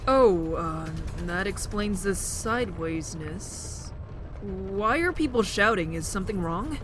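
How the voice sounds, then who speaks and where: A woman playing a timid, kind teenage boy survivor in an audio drama, hesitant and gentle in manner. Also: medium pitch, expressive, slow pace, over music. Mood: dazed, confused.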